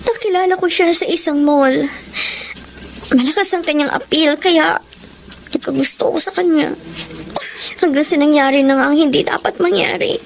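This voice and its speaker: A woman's voice: high-pitched, normal speed, gravelly, pauses often, slight background noise. An actress with a Filipino accent playing an emotional, distraught pregnant young woman in a radio romance drama. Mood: guilty, confessing. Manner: emotional, tearful pleading. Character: distraught pregnant young woman who has cheated on her fiancé. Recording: melodramatic music behind the voice.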